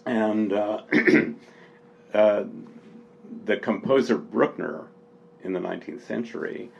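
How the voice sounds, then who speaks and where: A man speaking off the cuff in literary appreciation of a book, with halting, deliberate, thoughtful phrasing. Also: lowish voice, creaky. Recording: dull, lo-fi sound with faint noise.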